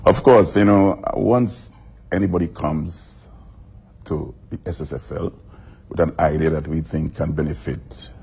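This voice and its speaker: A man's voice: rumbling low, creaky, mellow, breathy edge. A man, president of a school football league, speaking formally and measuredly in a live TV interview. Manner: formal, measured, repeats words when restarting.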